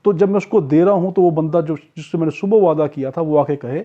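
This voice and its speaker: Higher-voiced Pakistani man lecturing, muffled recording. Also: quick pace. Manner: didactic, asks questions and answers them himself. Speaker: law teacher, lecturer. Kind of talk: explanatory lecture with acted-out examples.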